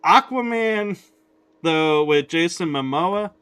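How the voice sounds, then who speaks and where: A man's voice: fairly high pitch, lively intonation, slow pace, a bit bright, clean tone. A man hosting a podcast, chatting casually in an easygoing, rambling way with restarts. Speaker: podcast host.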